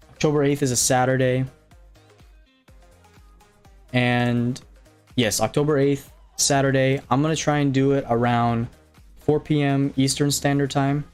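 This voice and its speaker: A man's voice: medium pitch, a little mellow, clear, resonant tone. A man with a slight Hawaiian accent, chatting casually on a game live stream, rambling with fillers and restarts as he answers viewers. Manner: rambling, many fillers and restarts, reading chat aloud.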